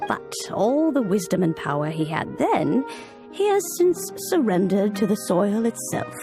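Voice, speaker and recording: An actress playing an ageless, wise immortal woman in a video game, telling old tales in a solemn, measured, formal way. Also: mid-range voice, sing-song, over music. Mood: solemn, nostalgic. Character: ageless immortal adeptus woman, wise, stately, nostalgic storyteller. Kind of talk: dramatic video game storytelling.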